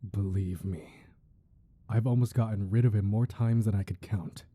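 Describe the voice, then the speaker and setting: A man playing a weary, menacing crime boss with a tender side, in a controlled, deliberate ASMR roleplay delivery. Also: on the low side, steady tone, mellow, breathy edge. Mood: cold, irritated. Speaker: audio roleplay voice actor. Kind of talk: ASMR roleplay acting, close and dramatic.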